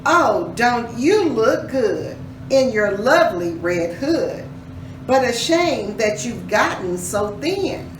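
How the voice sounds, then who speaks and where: A woman's voice: fairly high pitch, sing-song, slow. A woman voicing a sly, hungry male storybook wolf who coaxes sweetly while posing as a granny. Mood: falsely sweet. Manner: coaxing, falsely sweet. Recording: roomy echo, distant mic, faint noise.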